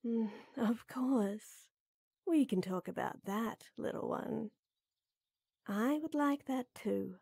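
Slow, mellow, flirty lady knight, acted by a woman. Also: on the high side, dry recording, clean studio sound, heavily acted. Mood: flirty, teasing. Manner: soft, teasing, coaxing, with breathy near-whispers. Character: tall, flirty, teasing, tender lady knight. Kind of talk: intimate roleplay audio drama.

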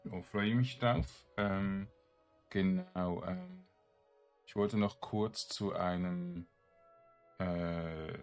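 A man giving casual, halting game commentary, rambling as he thinks aloud. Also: deep voice, animated. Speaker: let's player. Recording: game music behind the voice. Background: video game music.

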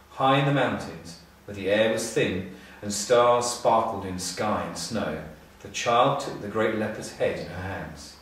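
A middle-aged man narrating a children's storybook in a measured, expressive way with pauses. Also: fairly low pitch, a little hiss or hum. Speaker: storybook reader, school staff. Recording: echoey room, distant mic.

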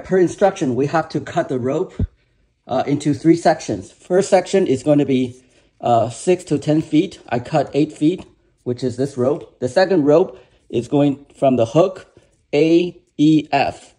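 Man, slightly high voice, unhurried how-to tutorial delivery, recorded in a garage. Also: mellow. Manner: slow, methodical, explaining each step. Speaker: DIY instructor. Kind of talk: step-by-step how-to narration. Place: home garage.

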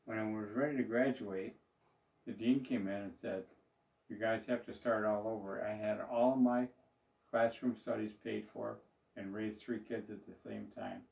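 A man's voice: fairly low pitch, fairly even intonation, moderate pace, gravelly, darkish tone. An older man, a business owner talking to the camera in a small office in a plain, rambling way, with fillers and restarts. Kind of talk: speaking to camera about his business. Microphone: far camera. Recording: roomy echo, voice from a distance.

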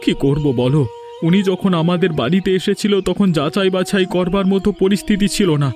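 A man's voice: high voice, normal speed, darkish tone, soft background music, close to an everyday voice. A man voicing troubled, earnest village men in a Bengali cartoon. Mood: distressed, angry. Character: village men, apologetic guest and aggrieved householder. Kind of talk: dubbed Bengali cartoon dialogue.

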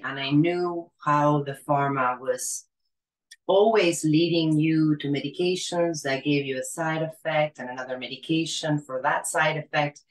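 A woman, telling her personal story as a guest in an earnest, conversational way over a video call. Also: low-pitched. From Argentina. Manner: earnest, conversational, frequent "you know" and "right?". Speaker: wellness consultant guest. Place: small room against a plain wall. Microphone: video call, earbuds with cord. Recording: echoey room on a video call.

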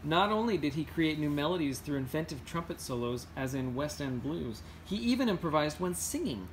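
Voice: mid-range voice, lively intonation, fairly quick, a little hiss or hum, poor audio. A person reading a picture book aloud to children, playful, with singsong rhymes, scat sounds and casual explanations.

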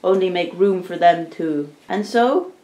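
A woman narrating a storybook tale live for a puppet show, in a deliberate, theatrical storytelling manner. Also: fairly low pitch, warm tone. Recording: roomy, distant amateur recording.

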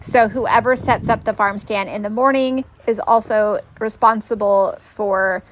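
A woman's voice: medium pitch, steady tone, measured pace, slight background noise, low-quality recording. A woman in her thirties chatting upbeat in a casual vlog, filmed outdoors at a rural farm stand on a phone held at arm's length. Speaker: homesteading vlogger.

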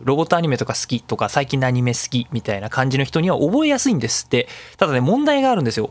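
A man's voice: fairly high pitch, dry recording. A man hosting a casual solo web radio show in speech, chatty and given to acting out imagined remarks. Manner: talkative, acts out imagined remarks mid-talk.

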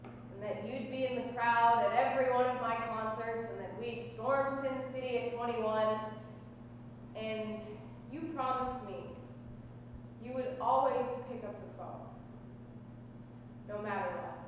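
A young woman performing spoken word poetry on a small stage, speaking deliberately and dramatically with pauses. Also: on the high side, fairly even intonation. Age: young adult. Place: small dark stage indoors. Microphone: distant camera microphone. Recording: echoey hall, distant mic, noisy room tone.

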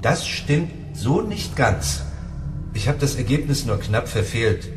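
An actor playing a lonely, shy and earnest neighbour in a radio drama, speaking hesitantly. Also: male voice, mid-range voice, very animated, slow. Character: lonely, eager, shy simple-minded male neighbour. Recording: echoey room with music behind.

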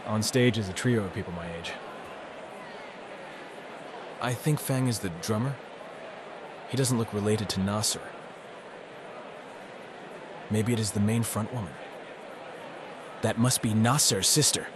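A man's voice: on the low side, a little slow, long pauses, noisy background. A man reading scripted first-person narration in a wry, exasperated manner, as narrator of a voiced visual novel. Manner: wry, exasperated, sweary teenage protagonist.

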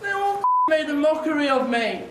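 A man's voice: very high-pitched, expressive, normal speed, a bit bright. A young man talking emotionally and defensively as an upset talent show contestant. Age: young adult. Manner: aggrieved, defensive. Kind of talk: emotional unscripted talk. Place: large theatre stage and backstage corridors. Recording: noisy theatre with audience noise.

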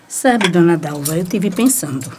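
Homely Brazilian schoolteacher, played by an actress: folksy, slightly low, lively radio soap delivery over kitchen clatter. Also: female voice, moderate pace, noisy background, lightly acted. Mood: chatty. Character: friendly, homely female former schoolteacher with plans. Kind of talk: radio soap opera acting. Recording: clattering dishes behind the voice.

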